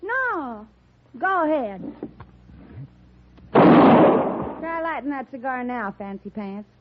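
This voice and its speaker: Hotheaded wild west gal, rustic drawl, real high and all over the place, mouthy, muffled old radio show. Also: female voice, big pitch swings, very slow, heavy background noise, exaggerated voice. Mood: scornful. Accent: American Western drawl. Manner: defiant, blustering, rough rustic speech. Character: brash, hot-tempered young frontier sharpshooter woman. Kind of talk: old-time radio western acting.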